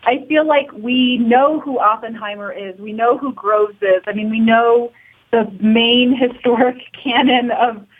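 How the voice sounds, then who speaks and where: Thin, phone-like audio carries a woman's fairly high voice. She is a documentary producer being interviewed, explaining informally and a little hesitantly. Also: unhurried. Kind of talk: casual interview talk. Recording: thin, phone-like narrow band.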